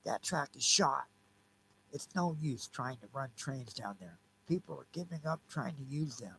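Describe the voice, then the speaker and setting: Audio drama: male performer as an earnest, quietly alarmed railway man, hushed and insistent, over background noise. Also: medium pitch, lively intonation, moderate pace, gravelly, low-quality recording. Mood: flatly insistent.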